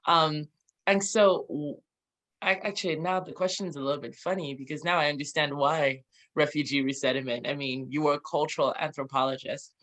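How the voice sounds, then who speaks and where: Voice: mid-range voice, fairly even intonation, a little fast, fairly bright tone. An interview host who sounds eager and upbeat, asking questions with many fillers and restarts. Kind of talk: casual interview chat.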